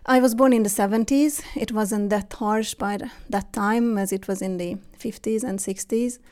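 A woman in her forties with a Hungarian accent, a guest telling her story in a relaxed interview, with frequent fillers and restarts. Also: medium pitch, normal speed. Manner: hesitant, many fillers and restarts. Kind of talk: casual interview conversation.

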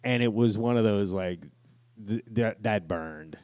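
Low-voiced, foul-mouthed man telling stories. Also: unhurried, darkish tone, no echo, clean studio sound. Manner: crude, profanity-laced. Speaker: podcast co-host. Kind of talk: casual storytelling banter.

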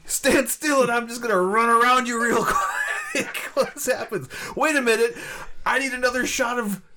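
A man's voice: very high voice, moderate pace, noisy background. A man bantering casually as a podcast panelist.

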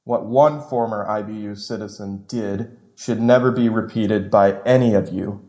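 Solemn low-voiced male enforcer played by an actor. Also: fairly even intonation, normal speed, mellow, highs cut off, close to an everyday voice. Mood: grave warning. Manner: grave, formal, dramatic. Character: solemn, dramatic male government enforcer instructing recruits. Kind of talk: formal scripted address.

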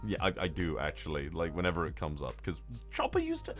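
A male podcast co-host with a very lively pitch that swings up and down as he chats. He is emphatic and profane, often tripping into restarts. Some music plays behind his voice. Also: quick pace. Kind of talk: casual excitable chat about manga.

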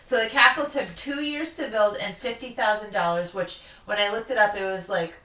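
Woman podcast host chatting casually, bright voice, roomy echo, kinda far from the mic. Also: mid-range voice, brisk. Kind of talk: casual chatty storytelling about local history.

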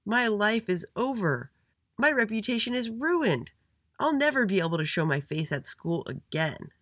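A storyteller voicing a boastful, dramatic young schoolboy in a children's story, whiny and loud. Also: mid-range voice, big pitch swings, moderate pace, dry recording, studio quality, pitched above the performer's own voice. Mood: distraught. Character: little Andy, boastful dramatic schoolboy recess monitor.

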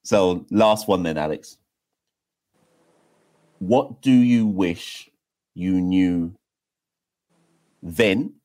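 A man's voice: sits low, drawn-out words, long pauses, dark tone. A man hosting a podcast, asking long, rambling questions in a chatty way. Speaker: podcast host. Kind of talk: casual interview chat. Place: room in London.

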